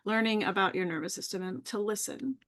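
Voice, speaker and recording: A woman's voice: medium pitch, fairly quick, a bit bright. A woman guest talking casually on a live video call. She sounds easygoing and chatty, and laughs readily.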